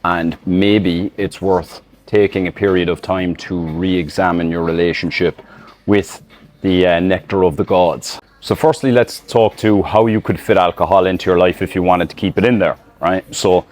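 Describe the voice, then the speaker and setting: Deep-voiced Irish man, rambling fitness chat. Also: steady tone, moderate pace, a little mellow. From Ireland. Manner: loose, rambling, many fillers and restarts. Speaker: fitness coach. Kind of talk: casual talking-to-camera advice. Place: outdoors in public, filming selfie-style. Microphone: phone camera in selfie mode.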